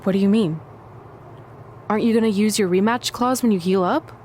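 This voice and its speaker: Loyal young female wrestler for a video game, played by a woman: middle pitch, sincere, conversational, light background noise. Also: fairly quick, a little mellow, natural voice. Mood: concerned, supportive. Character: supportive, down-to-earth young female pro wrestler.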